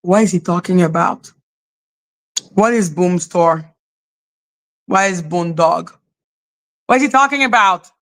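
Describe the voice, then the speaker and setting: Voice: mid-range voice, a little slow, long pauses, fairly bright tone. A live-stream host ranting in casual commentary, indignant and profane, with sarcastic mocking questions.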